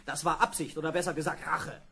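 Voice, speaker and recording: An irritable male space pilot in an old radio play. His voice is high for a man, blunt and exasperated, over clear background noise. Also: steady tone, fast talker, sharp tone. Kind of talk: vintage German sci-fi radio play acting.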